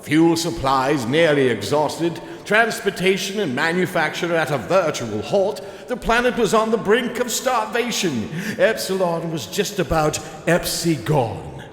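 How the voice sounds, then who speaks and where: An actor playing a pompous, effusive prize presenter in an audio drama, declaiming grandly. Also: male voice, a little high, sing-song, unhurried, a bit bright, a little hiss or hum. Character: grandiose male presenter bestowing a science prize. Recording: echoey hall with music behind.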